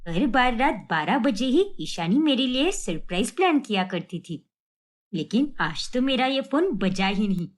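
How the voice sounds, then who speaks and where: A middle-aged cartoon mother, played by a woman, plaintive and full of self-pity. Her delivery is dramatic and swings widely in pitch, with resentment and regret close to the surface. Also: sing-song, a little slow. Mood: remorseful, sad.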